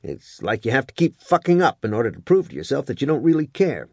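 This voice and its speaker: A man's voice: mid-range voice, sing-song, fast, no room sound, voice pushed up. A man voicing a wry, probing male army first sergeant in an audiobook reading, with a sardonic, mock-psychological manner. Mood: needling.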